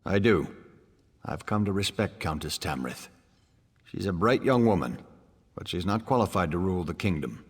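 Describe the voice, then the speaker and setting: An actor playing a proud, ambitious, firm-handed baron in a fantasy video game, speaking formally and resolutely. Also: male voice, low voice, expressive, moderate pace, pauses often, husky. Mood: resolute, self-assured. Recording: echoing hall-like reverb.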